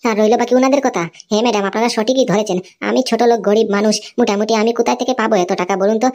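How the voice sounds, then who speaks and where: A woman's voice: fairly high pitch, fairly even intonation, very fast, darkish tone, lightly acted. A woman voicing a humble, conciliatory young cartoon man who explains things in a placating way. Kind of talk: amateur cartoon dialogue.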